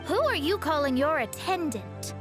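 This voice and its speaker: A haughty young astrologer girl from a fantasy game, high voice, sure of herself, music behind. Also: big pitch swings, moderate pace. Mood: proud, dismissive. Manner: confident, slightly haughty. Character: proud, self-assured young woman astrologer. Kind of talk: video game cutscene voice acting.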